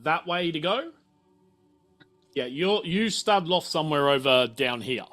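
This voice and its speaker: Bright-voiced, unhurried man running a game. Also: on the high side, expressive, faint music behind. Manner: informal, explanatory. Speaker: game master. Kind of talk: improvised tabletop game narration.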